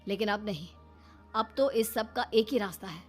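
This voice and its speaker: A woman's voice: medium pitch, normal speed, soft background music, close to an everyday voice. A woman playing a fed-up young husband in a cartoon story, stern and reproachful. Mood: resolute. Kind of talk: animated Hindi story acting.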